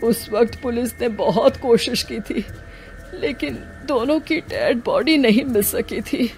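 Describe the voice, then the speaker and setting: Woman voicing a slow, grieving elderly village headman. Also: fairly high pitch, darkish tone, soft background music. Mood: sorrowful, earnest. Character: grieving elderly village headman, weary father mourning his son. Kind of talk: Hindi animated moral story dialogue.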